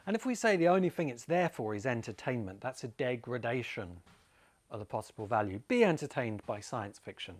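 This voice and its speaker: A man's voice: medium pitch, expressive, brisk. A man giving an informal, opinionated explanatory talk, wandering through ideas and addressing the listener directly. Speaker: video essay host. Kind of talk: informal explanatory monologue to camera. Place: home among rice fields in Bali.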